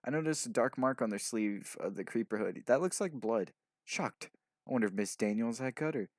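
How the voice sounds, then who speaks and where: A man's voice: mid-range voice, expressive, fairly quick, no echo, studio quality. A man reading a story aloud casually, stumbling over sentences as he narrates. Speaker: fanfiction reader.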